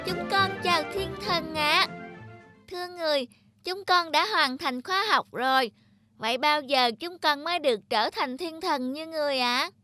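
A woman's voice: sits high, moderate pace, pushed and bright, soft background music. A woman voicing a polite, eager little angel pupil in a cartoon. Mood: eager, respectful.

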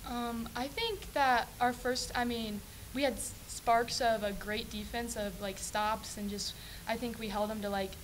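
A woman's voice: fairly high pitch, steady tone, measured pace, few pauses, a little hiss or hum, poor audio. Earnest young freshman woman at a presser. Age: young adult. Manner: earnest, frequent ums. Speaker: freshman college basketball player. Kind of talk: post-game press conference answers. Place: press room with sponsor backdrop. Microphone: table mic on stand.

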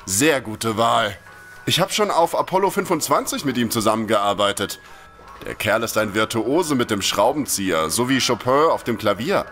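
An actor playing a gentle, resigned ailing male scientist in an audio drama. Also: mid-range voice, lively intonation, moderate pace, faint music behind. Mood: enthusiastic.

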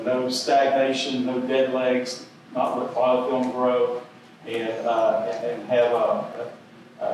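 A man's voice: mid-range voice, slight background noise. Older man lecturing in an echoey hall. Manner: rambling, repeats words, chuckles at own stories. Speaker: seminar presenter. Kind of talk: conference lecture. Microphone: podium microphone. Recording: echoey hall, heard from a distance.

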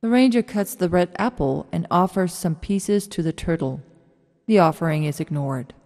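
Mellow, reverberant female narrator. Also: on the low side, lively intonation, normal speed. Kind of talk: scripted narration of radio drama stage directions. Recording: long hall-like reverb.

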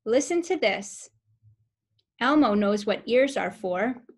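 A young woman reading a picture book aloud to toddlers as a preschool teacher, with simple, expressive phrasing and questions for the listeners. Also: a little high, slow pace. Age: young adult. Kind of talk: children's story read-aloud.

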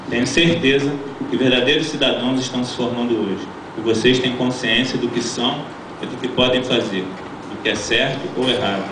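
A middle-aged man, a teacher, reads an earnest graduation speech from a lectern, his voice at a middle pitch. He is heard from far back in a large, echoing auditorium. Constant crowd ambience and noise sit under his words. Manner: earnest, measured, reads from notes. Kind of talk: formal read-out ceremonial speech. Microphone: stand mic at lectern, filmed from the audience. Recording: echoey auditorium, from far off, noisy.

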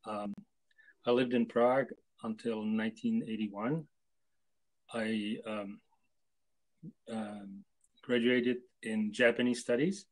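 An older man from Czechoslovakia answering interview questions on a video call, hesitant and full of pauses. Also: on the low side, little pitch movement, low-quality recording. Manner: hesitant, with many pauses and fillers. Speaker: guest translator. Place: home room with photos on wall.

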